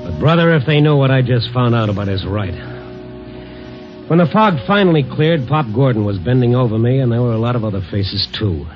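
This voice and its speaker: Actor as a hard-boiled night reporter, muffled old radio. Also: male voice, mid-range voice, expressive, brisk, close to an everyday voice. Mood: wry, concerned. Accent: American. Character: streetwise, world-weary male newspaper night reporter. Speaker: lead actor and first-person narrator. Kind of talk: 1950s radio noir narration and acting. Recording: old muffled radio recording, music behind.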